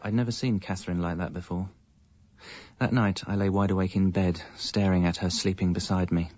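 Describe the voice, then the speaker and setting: A man's voice: low voice, darkish tone, natural voice. A man acting a guilt-ridden married father in a British radio comedy drama, in a British accent, with a wry, confessional manner. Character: guilt-ridden married father narrating his double life. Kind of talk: scripted first-person narration and acting in radio comedy drama. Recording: dull, muffled audio with music.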